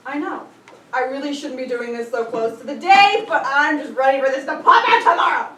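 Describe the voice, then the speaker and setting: A woman playing a teasing, lively friend at a backyard cookout in a staged play reading. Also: sits high, a little fast. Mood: resigned. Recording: roomy, distant mic, faint noise.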